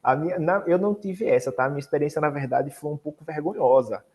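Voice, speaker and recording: Mellow-voiced man explaining. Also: a little high, fast talker. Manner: friendly explainer, addresses the audience directly. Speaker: exchange program advisor. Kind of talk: casual chat.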